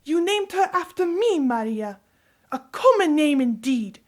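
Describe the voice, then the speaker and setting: A woman playing a sharp-tongued, theatrical grandmother in an audio drama. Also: sits high, very animated, unhurried. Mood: indignant.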